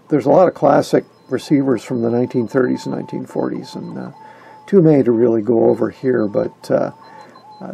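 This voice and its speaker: A man giving a casual, halting, conversational explainer as an enthusiastic radio hobbyist. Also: mid-range voice, vocal fry, mellow, husky, low-quality recording. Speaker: amateur radio hobbyist presenter.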